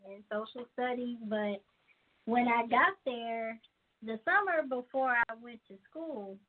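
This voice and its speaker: Slow-talking woman on a tinny line. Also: a little high. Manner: thoughtful, laughs often. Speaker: guest, actress and musician. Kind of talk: casual interview. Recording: tinny phone-line sound.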